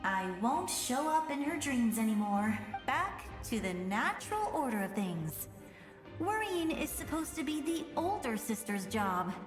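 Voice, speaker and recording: High, animated young heroine. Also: female voice, a little slow. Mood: fond, wistful. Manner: bright-spirited, earnest, encouraging. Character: spirited, caring young big-sister healer, upbeat and determined. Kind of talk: animated video game voice acting. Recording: echoey reverberant hall, game music behind.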